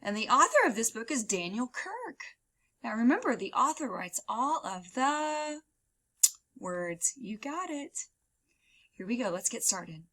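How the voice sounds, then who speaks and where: A woman reads a children's story aloud in a teacherly way. Her voice is fairly high and bright, swinging widely in pitch, with dramatic stretches on key words. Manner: expressive, dramatic, drawn-out emphasis on key words.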